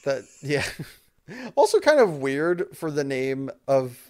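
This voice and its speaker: A man chatting on a casual podcast at a mid pitch, joking around and laughing easily. He sometimes stumbles over a phrase and then restarts it. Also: very animated, slow, a little mellow. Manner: jokey, laughs often, trips over his words. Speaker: podcast co-host. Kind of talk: casual podcast chat with a mock ad read.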